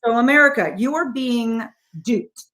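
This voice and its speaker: A woman's voice: on the high side, measured pace, a bit bright. Insistent woman warning her listeners. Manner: insistent, rhetorical questions, direct address to viewers. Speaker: guest. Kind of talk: emphatic political interview talk.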